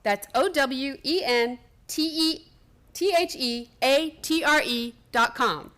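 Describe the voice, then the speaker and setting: A woman's voice: high-pitched, takes it slowly, a bit bright. A woman announcer reading a scripted, upbeat advertisement for a theater season. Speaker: promo announcer.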